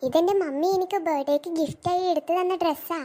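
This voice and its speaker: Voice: very high voice, steady tone, fast talker, dark tone. A performer voicing an eager, vain little cartoon schoolgirl with a childish, exclamatory delivery. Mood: cheerful. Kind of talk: scripted cartoon dialogue.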